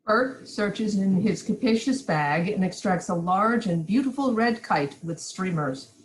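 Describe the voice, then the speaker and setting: Woman, narrator, scripted stage directions, medium pitch. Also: expressive, a little slow, poor audio.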